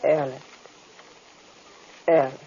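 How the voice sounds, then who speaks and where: An actress playing a trusting, obedient young medium with a trance-like manner in old radio horror. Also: female voice, deep voice, flat delivery, dark tone. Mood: entranced. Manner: slow, repetitive, trance-like. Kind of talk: 1930s-40s radio horror acting. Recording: very noisy, muffled vintage radio recording.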